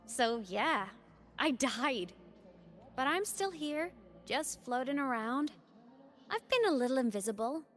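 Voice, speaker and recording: A woman's voice: high-pitched, expressive, slow, pauses often, soft background music. A woman voicing a playful, teasing digital ghost girl in a video game, breezy and casual but determined. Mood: wistful, breezy. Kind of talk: video game story voice acting.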